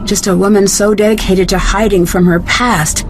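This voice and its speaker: An actress playing a plucky, level-headed young female detective in a video game, speaking clearly and earnestly. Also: medium pitch, steady tone, moderate pace, over music, close to an everyday voice. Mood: reflective narration.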